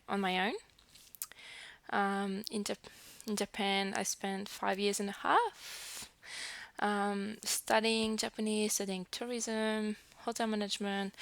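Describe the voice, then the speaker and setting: A young woman from New Caledonia talks to her camera in a casual, rambling way, her colouring her speech. Her voice has a gravelly edge, picked up close on a headset mic in a dry, dead room. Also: mid-range voice, a bit bright, no room sound. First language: French. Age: 20s. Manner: chatty, frequent fillers and restarts. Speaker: vlogger. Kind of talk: casual self-introduction to camera.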